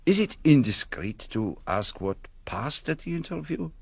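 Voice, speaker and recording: A man playing a courteous, shrewd foreign detective in a radio drama, formal and deliberate with quaint phrasing. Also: medium pitch, big pitch swings, vocal fry, a little mellow, slightly rough, no echo, heavily acted. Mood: thoughtful, probing.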